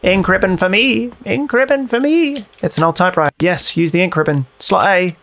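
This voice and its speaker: A man's voice: sits high, fairly quick, a bit bright, a little hiss or hum. A young British man giving casual, playful gameplay commentary, sweary and often repeating phrases in a sing-song way. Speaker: let's play host.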